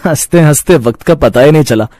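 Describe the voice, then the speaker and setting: A man's voice: on the high side, a little fast, mellow, no room sound, natural voice. A man acting a hardworking, caring street food vendor and devoted husband in a cartoon moral story, with an earnest, affectionate manner. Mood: tender. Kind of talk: acted dialogue in a Hindi moral cartoon story.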